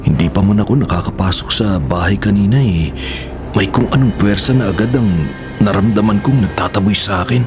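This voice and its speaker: Actor as a grave, low-voiced spirit adviser. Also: male voice, music in the background, natural voice. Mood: uneasy. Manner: earnest, solemn, deliberate. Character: grave, perceptive man advising on spirits and rituals. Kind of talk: radio horror drama acting.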